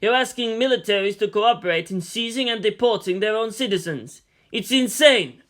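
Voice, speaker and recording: A woman's voice: medium pitch, expressive, moderate pace, pitched above the performer's own voice, natural voice. A woman reading the part of an indignant male diplomat, heated and accusing. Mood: outraged.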